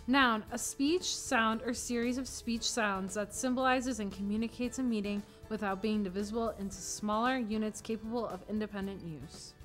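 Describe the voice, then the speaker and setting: A woman recites scripted ensemble lines in a sharp, bright voice. She pitches fairly high, and faint music sits underneath her. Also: moderate pace, few pauses. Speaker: readers theater performer.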